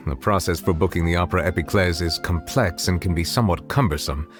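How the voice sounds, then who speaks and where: An actor playing a reserved, dignified chief justice in a video game, speaking in a formal, measured, polite way. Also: male voice, low-pitched. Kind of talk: video game cutscene voice acting.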